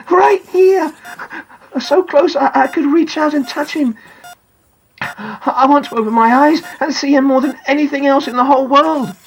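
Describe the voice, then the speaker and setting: A woman voicing an excitable young boy who lies in a coma, confiding his thoughts emphatically in a dramatic audiobook narration. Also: high voice, pitched above the performer's own voice, low-quality recording. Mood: thrilled.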